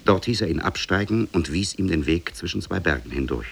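A man with a low, husky voice tells a scripted fairy tale. His delivery is solemn and measured, in the old style of 1950s radio. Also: expressive. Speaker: narrator.

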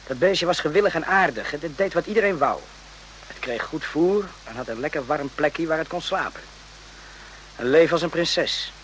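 Calm, higher-voiced reflective man. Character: calm, reflective male companion, gently philosophical storyteller. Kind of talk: Dutch radio play acting. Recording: slightly noisy old radio recording.

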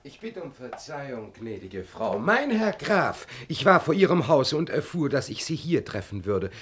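A man's voice: mid-range voice, very animated, moderate pace, a bit bright, husky. An actor playing an obsequious male court envoy with over-polite manners in a classical German radio play. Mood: courtly, pressing.

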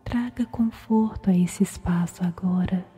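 A woman with a Brazilian accent softly whispers a guided sleep meditation in a slow, soothing, gentle manner. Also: on the low side. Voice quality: breathy, whispery. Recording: music behind the voice. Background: meditation music.

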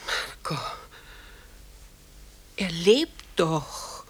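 An older woman playing an anxious, insistent mother, a dignified lady, in breathy, pleading radio drama style. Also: lowish voice, sing-song, drawn-out words, exaggerated voice. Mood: disbelieving. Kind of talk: German radio drama acting.

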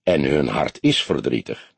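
A man voicing a gruff, modest old police detective in an audiobook, low-key, grumbling and dryly sardonic. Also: animated, measured pace, no echo, full character voice. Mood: dryly mocking. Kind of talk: audiobook character voice.

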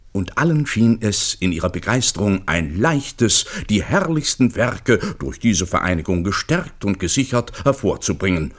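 An actor as the narrator of a satirical radio play, reading literary prose as a storyteller. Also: male voice, on the low side. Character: wry male literary narrator of a satirical tale.

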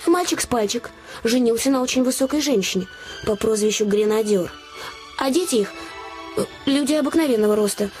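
A woman's voice: on the high side, a little fast, darkish tone, soft background music, natural voice. A woman playing an earnest, chatty young innkeeper's daughter, confiding and artless, in a fairy-tale radio play. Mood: amused, confiding.

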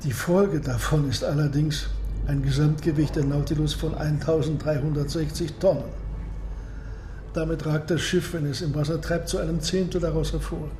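High-voiced, proud submarine captain, played by a man. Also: steady tone, warm tone, natural voice. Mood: proudly explaining. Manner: measured, precise, formal. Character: proud, secretive submarine captain and engineer, cool and courteous. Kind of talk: German radio drama acting. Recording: roomy echo, clear noise behind.

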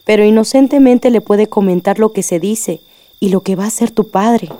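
A woman's voice: medium pitch, moderate pace, mellow, natural voice. A middle-aged Mexican woman acting a caring, perceptive and wary mother in a radio soap opera. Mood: worried, doubtful.

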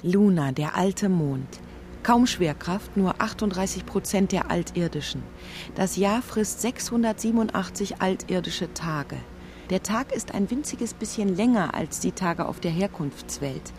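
A woman's voice: medium pitch, lively intonation, unhurried, darkish tone, faint music behind, lightly acted. Woman as a small, clever red lizard warrior. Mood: reflective. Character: small clever red female lizard warrior, self-assured, matter-of-fact. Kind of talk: German radio drama acting.

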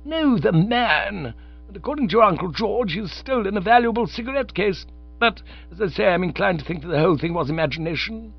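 A man's voice: high-pitched, lively intonation, brisk, gravelly, faint music behind, voice pushed up, exaggerated voice. A man voicing a stern, pompous middle-aged aunt who lectures loftily and stalls over delicate words. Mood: imperious. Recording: slightly dull lo-fi recording.

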